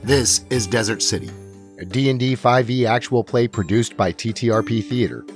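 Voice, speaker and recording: A man narrating a tabletop fantasy game as game master, setting scenes in a measured, deliberate way. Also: medium pitch, faint music behind.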